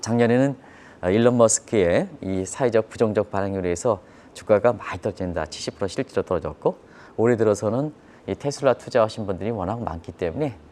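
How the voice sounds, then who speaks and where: Male economic commentator, fairly low register, explains markets to viewers with repetition and rhetorical questions. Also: a little fast, darkish tone. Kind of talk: live financial news commentary.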